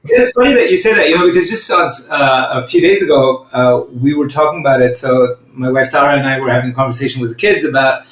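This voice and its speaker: A man hosting a podcast interview, speaking in a measured, reflective way and slowing down to think aloud. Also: mid-range voice, fairly bright tone. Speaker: podcast host. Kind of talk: conversational interview. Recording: roomy echo.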